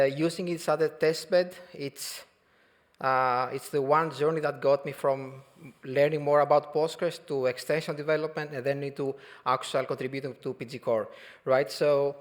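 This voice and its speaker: A man in his thirties, from Albania and raised in Greece, giving a relaxed technical talk at a conference, halting often with fillers and restarts. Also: on the high side. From Albania, grew up in Greece. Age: 30s. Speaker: conference speaker. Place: conference hall.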